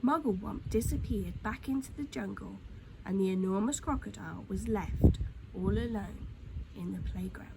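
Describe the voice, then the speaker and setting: A woman reading a children's story aloud in an expressive, dramatic way, dropping into hushed tones for suspense. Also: mid-range voice, moderate pace. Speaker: storytime reader. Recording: clear background noise.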